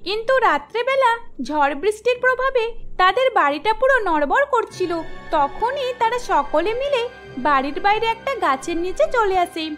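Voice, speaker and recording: A woman tells a scripted cartoon tale as narrator. Her voice is extremely high. Music runs underneath the narration. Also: sing-song, normal speed, fairly bright tone.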